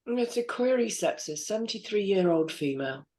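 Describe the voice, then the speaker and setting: A woman reading the part of a gruff male hospital supervisor in his fifties in a script read-through, awkward and apologetic. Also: medium pitch, lively intonation, moderate pace, a little mellow, lightly acted.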